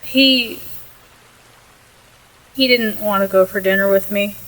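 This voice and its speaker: A woman's voice: mid-range voice, slow pace, fairly bright tone, low-quality recording. A young woman playing a restless, defensive fifteen-year-old in a contemporary audio play, with a casual, youthful manner. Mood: downcast.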